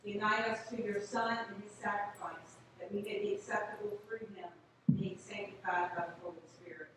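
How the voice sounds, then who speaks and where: An older woman, a priest, recites liturgical prayer in a solemn, measured, declaiming manner. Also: mid-range voice, fairly even intonation, far from the mic. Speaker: Episcopal priest. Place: inside a small church at the altar. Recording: echoey church from afar, noisy background.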